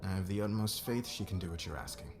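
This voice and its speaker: Man playing a low-voiced, commanding fashion boss. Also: animated, fast, crowd noise. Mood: flustered, confident. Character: demanding male fashion boss, driven, coaxing, commanding. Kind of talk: ASMR roleplay audio drama. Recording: clear background ambience noise.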